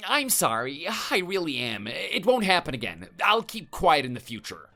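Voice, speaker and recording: A cowardly, grovelling male neighbor, put on by a man in a comic skit. The voice sits high and jumps around as he stammers and begs. Faint music plays underneath. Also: wildly swooping pitch, moderate pace, bright, forceful tone, heavily acted. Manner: stammering, pleading, apologetic.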